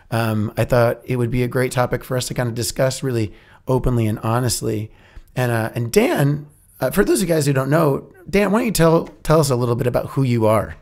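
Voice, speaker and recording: A young man with a froggy, hoarse voice, hosting a casual podcast chat and laughing often between filler words. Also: medium pitch, darkish tone. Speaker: podcast host. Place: recording studio.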